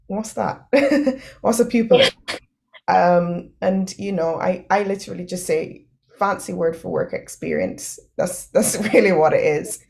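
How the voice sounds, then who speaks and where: A woman's voice: fairly low pitch, a little slow, gravelly, a little mellow. A woman host chatting with her guest, affirming and laughing often, with many filler sounds. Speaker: podcast host. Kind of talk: interview chat.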